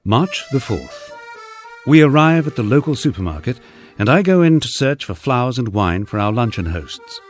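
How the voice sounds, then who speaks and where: A man with a husky voice reading a wry first-person memoir as scripted audiobook narration, dryly humorous and wordy. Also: mid-range voice, big pitch swings, moderate pace, faint music behind.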